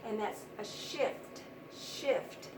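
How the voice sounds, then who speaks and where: A woman teaching young children, explaining things simply and deliberately with plenty of repetition. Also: mid-range voice. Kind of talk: read-aloud vocabulary lesson for young children. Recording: roomy, distant mic, noisy background.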